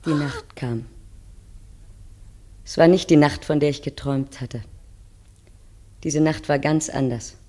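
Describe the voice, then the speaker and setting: A woman's voice: sits low, dark tone. Solemn, wistful woman narrator. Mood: solemn, yearning. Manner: measured, poetic, solemn. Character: wistful wife looking back, narrating to her husband. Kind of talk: dramatic first-person radio play narration.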